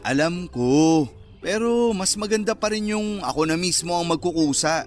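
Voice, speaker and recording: Radio drama best friend, young man: high-pitched, sarcastic banter, quick to sulk, music under. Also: animated. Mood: earnest. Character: teasing, sulky young male best friend. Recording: music bed behind the voice.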